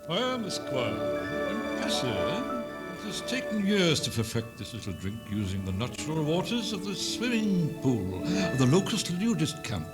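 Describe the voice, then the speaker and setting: Man acting a pompous dastardly squire, loud music. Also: a little high, very animated, a little slow, hardly any pauses. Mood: smug. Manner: pompous, grandly phrased. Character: dastardly, smug country squire, suave rival in love. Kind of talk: amateur comedy radio play acting. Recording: 1970s hospital radio recording, loud music behind.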